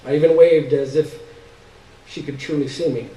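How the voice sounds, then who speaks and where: Higher-voiced man reading, roomy and distant. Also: fairly even intonation, normal speed, warm tone, muffled recording. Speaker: story reader. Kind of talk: expressive live literary reading. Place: live stage reading indoors. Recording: roomy, distant stage recording.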